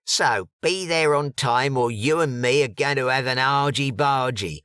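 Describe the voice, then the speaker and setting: A man voicing a brash, aggressive woman with an East London accent, loud and pushy. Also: mid-range voice, a little slow, smooth, no room sound, studio quality, pitched above the performer's own voice.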